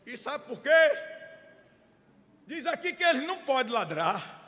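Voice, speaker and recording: A middle-aged Brazilian man preaching a Pentecostal sermon, swinging between fervent shouting and low, chatty asides. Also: sing-song, a little slow. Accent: Brazilian Portuguese. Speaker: pastor. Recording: echoey church hall.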